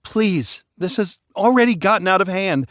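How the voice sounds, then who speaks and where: A young woman who urgently begs an argument to stop, put on by a male reader. Her voice sits high above the narrator's, and the sound is dry and close. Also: animated, normal speed, fairly bright tone, no room sound. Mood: pleading. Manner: pleading. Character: young woman, pleading peacemaker.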